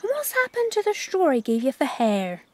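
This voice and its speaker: A woman's voice: high voice, huge pitch swings, normal speed, a little mellow, pitched above the performer's own voice. A woman voicing a bluff male farmer in a children's story, chiding in an avuncular way. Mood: mild scolding.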